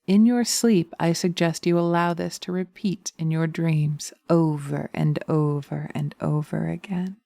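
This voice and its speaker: A woman playing a seductive, vengeful witch in slow hypnotic audio roleplay, breathy and often whispering, teasing and commanding, close to the mic. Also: low-pitched, steady tone, dark tone, no room sound. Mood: soothing, hypnotic. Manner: sultry, drawn-out, teasing, commanding. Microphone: close microphone, dry binaural recording.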